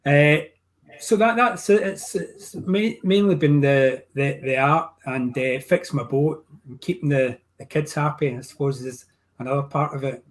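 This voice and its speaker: Older glasgow guy on a video call from his art studio, bit high voice, rambly, lots of restarts. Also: a little slow. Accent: Glaswegian. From Scotland. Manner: chatty, rambling with many restarts. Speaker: painting teacher. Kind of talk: casual video call chat.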